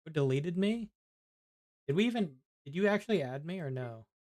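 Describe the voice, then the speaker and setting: Higher-voiced man chatting while gaming. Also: animated, normal speed, a little mellow. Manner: rambling, talking half to himself and to viewers. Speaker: game streamer. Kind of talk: casual live gameplay chat.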